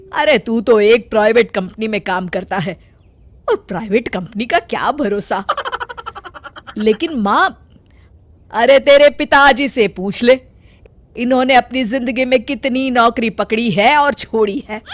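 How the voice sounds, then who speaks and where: A woman's voice: fairly high pitch, background noise. A woman voicing a domineering, scolding middle-aged mother-in-law in a cartoon story. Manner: scolding, commanding.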